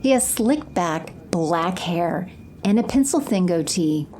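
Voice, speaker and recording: A woman's voice: lowish voice, expressive, slow, darkish tone, slight background noise. A woman reading scripted scene narration for an audio drama.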